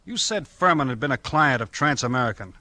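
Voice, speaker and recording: An actor playing a gruff, tough and weary small-town police chief, terse and bossy, in 1940s radio crime drama style. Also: male voice, mid-range voice, lively intonation, fairly quick, bright, forceful tone, no echo. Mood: guarded.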